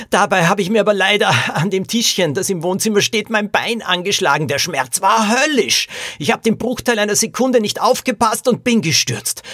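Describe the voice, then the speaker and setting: A man's voice: high-pitched, a bit bright, dry recording, studio quality, pitched above the performer's own voice. A man voicing a small injured male jockey in a children's detective story, panicked, pleading and breathless. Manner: breathless, gasping, agitated.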